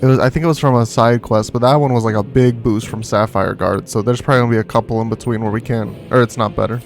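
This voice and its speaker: A man's voice: medium pitch, fairly even intonation, fast talker. A man streaming a video game, chatting casually through his gameplay as he goes. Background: game music.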